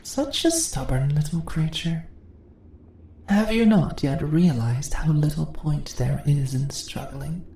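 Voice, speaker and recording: Slow, whispery, taunting spider monstress, played by a woman. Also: sits low, expressive, warm tone, full character voice. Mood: mocking, commanding. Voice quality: breathy, often dropping into whispers. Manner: slow, teasing, condescending, drawn-out questions. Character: seductive, taunting, dominant female spider monster. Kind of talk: ASMR roleplay, intimate and whispery. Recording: roomy echo, faint background noise.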